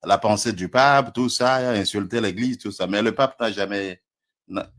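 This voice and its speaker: A man's voice: on the low side, normal speed, a bit bright. A man from Congo with a Congolese French accent, debating in a live online stream, hesitant and stammering with frequent chuckles.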